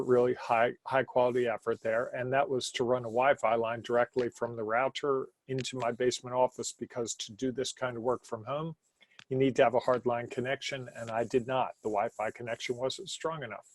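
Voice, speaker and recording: Man hosting a home-office webinar, loud voice reined in to a laid-back talk, dry mic. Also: mid-range voice, no echo. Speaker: webinar presenter.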